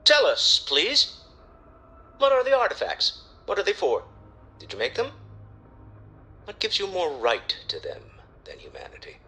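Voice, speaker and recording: Animated, bright-voiced space explorer. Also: male voice, high voice, unhurried, pauses often, full character voice. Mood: pleading, curious. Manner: expressive, inquisitive, earnest. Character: earnest, excitable male space explorer and scientist. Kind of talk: scripted video game acting.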